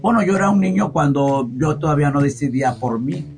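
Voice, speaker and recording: A man's voice: a little high, expressive, brisk, music in the background. A middle-aged man with a southern Mexican accent from the Guerrero coast, telling his story casually as an interview guest and acting out remembered quotes expressively. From Costa Chica, Guerrero, Mexico.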